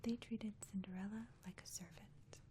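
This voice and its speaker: A woman whispering softly and breathily in a gentle, soothing ASMR style, as a caring friend reading a bedtime story. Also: mid-range voice, fairly even intonation, moderate pace, slight background noise.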